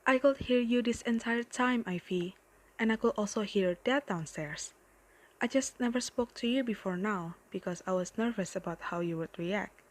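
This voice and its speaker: A woman voicing a gentle, curious talking unicorn doll in an amateur radio drama. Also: medium pitch, normal speed, close to an everyday voice. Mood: friendly, curious.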